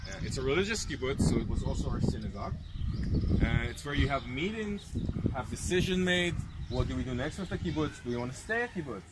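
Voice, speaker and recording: A man's voice: medium pitch, animated, fast talker. Young man guiding a tour outdoors. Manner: explaining with gestures, asking the group questions. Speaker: tour guide. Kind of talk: outdoor guided tour talk. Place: park lawn outdoors with a seated tour group. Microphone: handheld camera held close by the filmer. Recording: outdoor noise, birds and passing vehicles.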